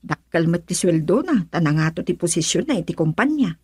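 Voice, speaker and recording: A woman's voice: lowish voice, sing-song, fairly quick, a little mellow, breathy edge, no room sound, studio quality, exaggerated voice. A woman acting in an Ilocano radio drama as an opinionated character, speaking in an emphatic, questioning way.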